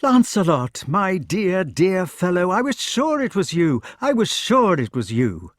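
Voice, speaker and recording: A man voicing an eager, warm-hearted young knight who talks loudly and excitedly. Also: a little slow, husky, dry recording, studio quality, exaggerated voice. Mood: overjoyed. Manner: loud, excited, pitched high.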